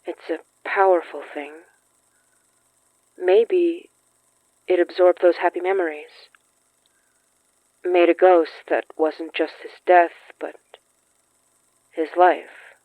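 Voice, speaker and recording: A young summoner of ghosts in a horror audio drama, heard through a narrow, phone-like radio filter. The delivery is slow, halting and earnest, with a gentle, reassuring manner and a dry, close sound. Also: female voice, lowish voice, dry recording. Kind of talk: intimate audio drama acting. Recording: thin, phone-like band-limited sound.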